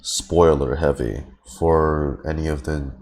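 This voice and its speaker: A man's voice: rumbling low, fairly even intonation, takes it slowly, darkish tone, slight background noise. A man streaming a game with casual live commentary, musing and thinking aloud in a hesitant way.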